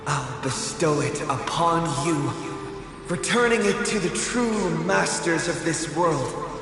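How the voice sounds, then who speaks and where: A man playing a humble godlike hero in a game, speaking in halting, solemn, weighty phrases with heavy reverb. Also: a little high. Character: gentle, humble godlike hero addressing mortals. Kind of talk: dramatic game voice acting. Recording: long cavernous reverb, music behind. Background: music.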